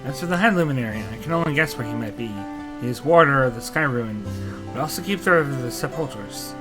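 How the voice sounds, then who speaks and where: A man's voice: medium pitch, lively intonation, fairly quick, music in the background, natural voice. A man voicing a blunt, skeptical giant villager in a fantasy video game. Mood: guessing. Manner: blunt, questioning.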